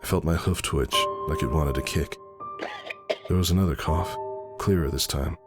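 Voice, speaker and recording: Somber, suspicious pony husband, read by a man, deep-voiced dramatic first-person storytelling over music. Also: moderate pace. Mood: troubled, brooding. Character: brooding male pony husband, anxious and suspicious. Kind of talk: dramatic audiobook narration.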